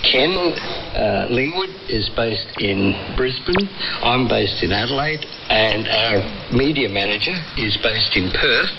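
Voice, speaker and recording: An Australian man in his sixties speaking hesitantly in an unscripted radio interview, with frequent pauses. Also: mid-range voice, expressive. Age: 60s. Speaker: interviewee, volunteer frequency coordinator. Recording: noisy muffled shortwave radio reception.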